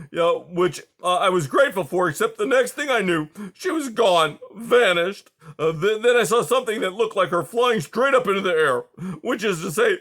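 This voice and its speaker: A man's voice: sits high, voice pushed up. A man voicing an anxious, fussy old male porcupine who stammers and wails. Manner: stammering, wailing and shouting.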